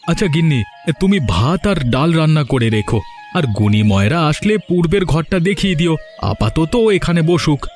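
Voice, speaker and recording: A man's voice: a little high, very animated, brisk, darkish tone. A man voicing an earnest, plaintive village husband in a moral-story cartoon. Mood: homely, busy. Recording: music behind the voice.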